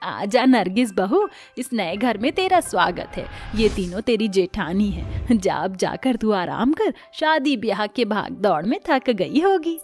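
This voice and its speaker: A woman's voice: mid-range voice, very animated, a little slow, hardly any pauses, a little mellow, faint music behind. A woman voicing a fussy village mother-in-law in a theatrical cartoon style. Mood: welcoming. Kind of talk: cartoon story dubbing.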